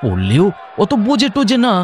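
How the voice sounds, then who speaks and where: A man's voice: high-pitched, wildly swooping pitch, brisk. A man playing a meek, earnest tradesman in a comic radio drama, explaining himself hesitantly and deferentially. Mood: anxious explaining.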